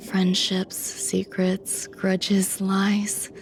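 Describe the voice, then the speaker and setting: A woman voicing a detached, secretive genius scientist in a video game, speaking in a measured, soft way. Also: medium pitch, completely flat delivery, in a whisper, low-quality recording. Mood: cool, detached. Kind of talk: scripted video game voice acting. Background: music.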